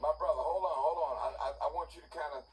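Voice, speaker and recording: Higher-voiced man hosting, distant and noisy. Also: fairly even intonation, rapid-fire. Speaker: talk show host. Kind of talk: live unscripted opinion talk. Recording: distant mic with background noise.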